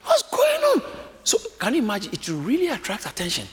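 A man preaching a sermon, lively and often acting out the voices of a crowd, joking with his congregation. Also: high voice, brisk. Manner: animated, acts out crowd voices, jokes with congregation. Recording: echoey hall with faint room noise.

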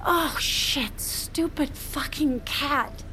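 A woman's voice: high-pitched, very slow, pushed and bright, a little hiss or hum, heavily acted, poor audio. An actress playing a rattled, defensive woman confronted at home. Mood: panicked. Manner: shaken, defensive. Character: Diana, a rattled, defensive woman in her career.

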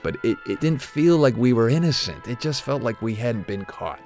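An actor playing an older, guilt-ridden retired corporate executive who confides haltingly, as in an audio drama interview. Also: male voice, mid-range voice, animated, a little mellow, soft background music, close to an everyday voice. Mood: guilty, confiding. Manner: hesitant, confessional, halting.

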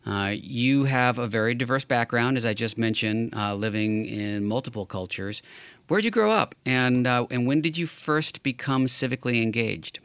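A man hosting a podcast in a conversational way, often pausing partway through his phrases as he speaks. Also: medium pitch, fairly bright tone, clear, resonant tone, no echo. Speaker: podcast host.

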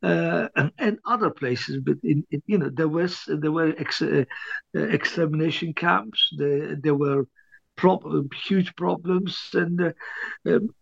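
An older man from Libya, an author, recalling his past in an interview with many restarts and much throat clearing. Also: on the high side, unhurried, no room sound, lo-fi sound. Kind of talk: hesitant interview reminiscence.

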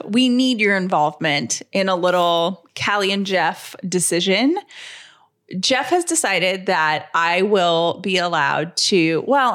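Chatty woman co-hosting, medium pitch. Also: a bit bright. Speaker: radio show co-host. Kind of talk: casual chatty banter.

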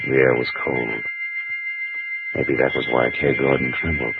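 An actor playing a wry, hard-boiled private eye who narrates dryly in 1940s radio acting style. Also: male voice, very deep voice, steady tone, measured pace, gravelly, loud music behind the voice, heavily acted. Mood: wry narration. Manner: dry, wisecracking first-person narration. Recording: dull old radio recording.

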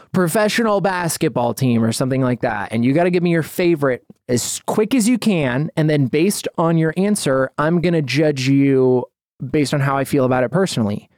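A man hosting a casual podcast chat, laughing often and breaking into quick excited asides. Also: on the high side, no echo, clean studio sound. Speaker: podcast host.

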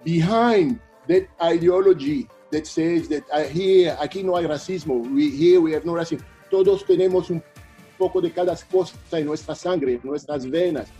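A man's voice: on the high side, normal speed, warm tone, soft background music. A man in his sixties, raised in Belgium, answering interview questions as a professor, hesitant with many fillers and restarts. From Democratic Republic of Congo, raised in Belgium. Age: 60s.

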